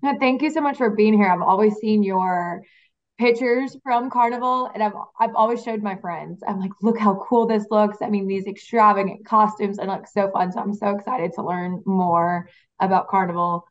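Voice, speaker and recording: A woman's voice: medium pitch, fairly even intonation, normal speed, highs cut off. A woman from New Orleans hosting a podcast, asking eager, friendly questions in casual chat. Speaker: podcast host.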